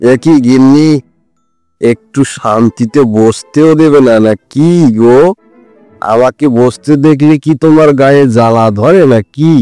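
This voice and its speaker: A man's voice: medium pitch, measured pace, warm tone, clear, resonant tone, dry recording, lightly acted. A man voicing a stingy older father-in-law in a comedy cartoon, grumbling in exasperation over music. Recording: music behind the voice.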